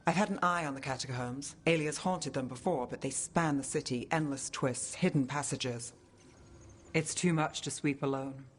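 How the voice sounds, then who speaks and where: An actress playing a guarded, wry, driven detective with a dry, clipped delivery in a game scene. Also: female voice, very deep voice, normal speed, husky. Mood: matter-of-fact. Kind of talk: cinematic video game acting.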